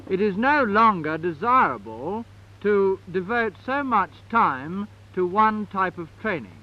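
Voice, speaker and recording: A British man with a notably high voice speaks as a military officer. His delivery is formal and measured, like a prepared briefing. The sound is dull, from 1950s newsreel film. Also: slight background noise. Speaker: army officer, lieutenant-colonel.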